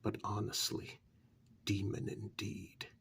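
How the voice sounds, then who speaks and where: A man in a soft-spoken ASMR story role-play, narrating a horror tale in a breathy, gravelly half-whisper as an asylum director, pausing between short phrases. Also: fairly low pitch, completely flat delivery. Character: asylum director calmly recounting eerie past events. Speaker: narrator telling a horror tale in first person.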